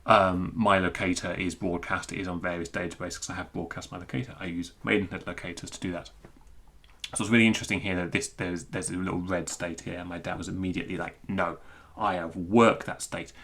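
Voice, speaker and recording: Very deep-voiced British man explaining. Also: flat delivery, fairly quick, creaky, a bit bright, slightly rough. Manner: conversational, digressive, thinking aloud. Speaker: amateur radio hobbyist and programmer presenting a talk. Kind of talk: informal unscripted technical explanation.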